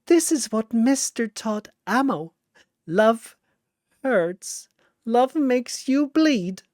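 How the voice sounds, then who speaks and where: High-pitched, childish, manipulative girl. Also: expressive, drawn-out words, a little mellow, no room sound, studio quality. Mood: dreamy, twisted delight. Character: childish, manipulative young girl fighter, shrieking and pleading.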